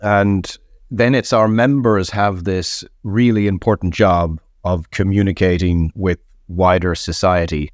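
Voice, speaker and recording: A man giving measured interview answers, his voice slightly husky from a cold. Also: low voice, steady tone, no room sound, clean studio sound. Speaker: guest, head of a hunting federation.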